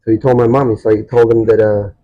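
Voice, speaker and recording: A man in his sixties from Chile, a Spanish speaker, telling personal stories haltingly as he searches for words. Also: fairly low pitch, little pitch movement, dull audio. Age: 60s. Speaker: podcast guest. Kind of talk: personal storytelling in a casual interview.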